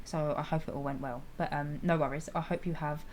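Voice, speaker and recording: A woman streaming a game, chatting casually with viewers and laughing often, her voice slightly raspy. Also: sits low, steady tone, brisk, darkish tone, slight background noise. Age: adult with a school-age child. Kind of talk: casual live-stream chat while playing.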